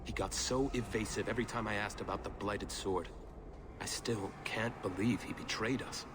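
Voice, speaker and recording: An actor playing an earnest, awed young merchant's son in a fantasy video game, speaking sincerely. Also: male voice, fairly low pitch, fairly even intonation, moderate pace, lightly acted. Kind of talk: video game cutscene acting. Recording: noisy ambience behind the voice.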